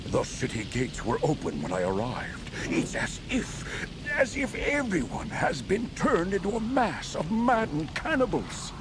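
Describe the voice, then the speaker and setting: Fantasy merchant, nervous, dramatic, self-interested, lively pitch swings, noisy game audio. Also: male voice, a little slow, full character voice. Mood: alarmed. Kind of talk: video game character acting.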